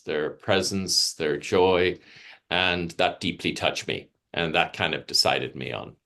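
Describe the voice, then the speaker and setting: An older Irish man with a very deep-pitched voice speaks as an interview guest on a video call. He is reflective and conversational, pausing often and searching for the right word. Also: bright, forceful tone. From Ireland. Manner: thoughtful, halting, searching for words. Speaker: palliative care physician, interview guest. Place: home study.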